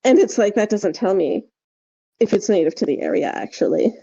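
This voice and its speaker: A woman's voice: mid-range voice, lively intonation, brisk, gravelly, dark tone, slightly rough, lo-fi sound. A woman chatting casually and emphatically as a podcast co-host, wry and discursive, with plenty of "like" along the way. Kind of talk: casual opinionated podcast chat.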